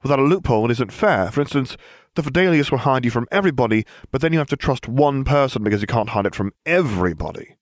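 A man's voice: mid-range voice, lively intonation, fast talker, no echo, clean studio sound, pitched above the performer's own voice, lightly acted. A man voicing a dramatic, self-absorbed teenage boy wizard in a storybook reading, whiny and theatrical. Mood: smug. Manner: whiny and theatrical, then smugly explaining.

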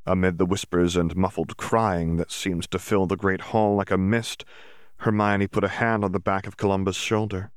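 A man reading a fan fiction audiobook aloud in an even, clear storytelling voice. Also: low voice, normal speed, no echo, studio quality. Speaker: audiobook narrator.

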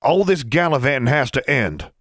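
A stern teenage backwoods wizard from a storybook, acted by a man. He speaks loudly, pitched up and domineering. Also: animated, moderate pace, dry recording, clean studio sound, lightly acted. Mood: stern, accusing. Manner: loud, domineering, rustic dialect.

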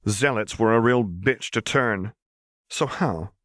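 A man's voice: lowish voice, takes it slowly, a bit bright, dry recording, studio quality. A man reading dramatic fiction aloud as an audiobook narrator.

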